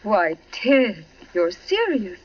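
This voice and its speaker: An actress playing a poised, evasive suburban wife in 1940s radio acting style. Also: female voice, mid-range voice, very animated, takes it slowly. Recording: dull vintage radio, hiss and crackle.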